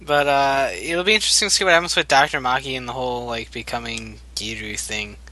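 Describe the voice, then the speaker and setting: Bright-voiced man speculating eagerly. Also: medium pitch, quick pace. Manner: enthusiastic, rambling speculation. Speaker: podcast co-host. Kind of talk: casual fan podcast chat.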